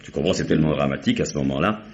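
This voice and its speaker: An actor playing Georges, a coaxing, insistent stage actor in an old radio play. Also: male voice, a bass voice, very fast. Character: Georges, scheming actor rehearsing a stage shooting. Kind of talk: 1950s French radio drama acting. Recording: dull old radio recording with hiss.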